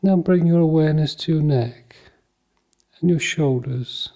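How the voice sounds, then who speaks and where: A man leading a guided mindfulness meditation in a calm, measured, soothing manner, leaving long pauses between short phrases. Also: on the high side.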